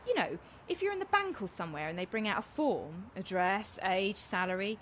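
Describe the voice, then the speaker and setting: A woman's voice: medium pitch, sing-song, brisk, fairly bright tone, slight background noise. A woman playing a frank, kind-hearted young woman in a radio comedy, chatty and earnest as she explains herself. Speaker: actor in a radio play. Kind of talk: naturalistic radio comedy acting.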